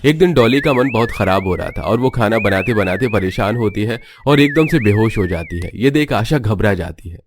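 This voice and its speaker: A man narrating a cartoon family story in a steady, scripted storytelling manner. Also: lowish voice, normal speed, hardly any pauses, background noise.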